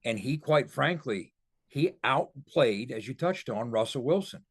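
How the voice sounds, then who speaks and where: A man breaks down football in a voice of average pitch. He is conversational but emphatic, laying out each point deliberately like a podcast analyst. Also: lively intonation, slightly rough.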